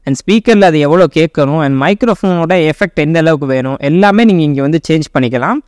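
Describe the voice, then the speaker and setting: High-pitched, quick-talking young Indian Tamil man. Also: clean tone, no room sound, studio quality. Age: young adult. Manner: informal explainer, mixing in English words. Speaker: tech review YouTuber. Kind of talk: software tutorial narration.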